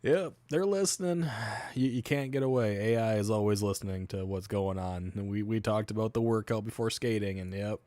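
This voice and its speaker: A man's voice: on the low side, fairly even intonation, fairly quick, dark tone, no echo. A man with an Albertan Canadian accent, hosting a livestream and rambling casually, with loose, profane asides. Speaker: livestream host.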